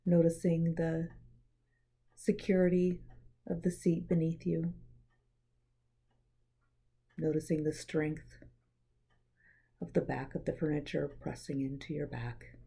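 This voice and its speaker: Slow, dark-voiced woman guiding meditation. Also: low voice, little pitch movement, rough recording. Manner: gentle, measured, breathy pauses. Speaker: meditation guide. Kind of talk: guided meditation, soothing scripted narration.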